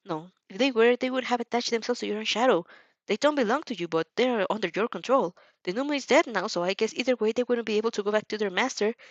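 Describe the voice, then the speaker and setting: A woman's voice: fairly high pitch, fast talker, no echo, muffled recording, close to an everyday voice. A woman voicing a teasing, nonchalant teenage girl heroine in a fan audio drama. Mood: explaining. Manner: casual, teasing.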